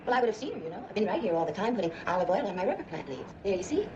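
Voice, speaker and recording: Actress as a fast, fluttery hotel keeper. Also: female voice, mid-range voice, big pitch swings, lo-fi sound, noisy background, lightly acted. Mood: fussy, puzzled. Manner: chatty, genteel, fluttery. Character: chatty, fussy, sweet-natured lady hotel keeper. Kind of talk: 1950s film drama acting. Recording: old film soundtrack with music.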